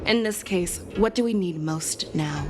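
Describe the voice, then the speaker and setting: A woman voicing a calm, shrewd general. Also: lowish voice, natural voice. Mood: steady commanding. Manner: measured, commanding, analytical. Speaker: general leading a hunt. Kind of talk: game cutscene voice acting. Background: game music.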